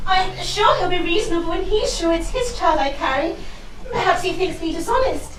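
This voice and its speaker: A woman acts a naive young Victorian heroine in a stage comedy. She pleads plaintively and melodramatically in a very high voice. The sound is distant and very echoey, as in a hall. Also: animated, fairly quick. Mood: pleading. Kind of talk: comic costume stage melodrama in rhyme. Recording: echoey hall from far off, noisy.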